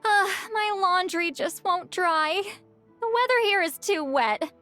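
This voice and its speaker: Young female game character, high voice, very lively intonation, earnest, expressive, light music behind. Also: slow pace, heavily acted. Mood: whiny, flustered. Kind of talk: animated game voice acting.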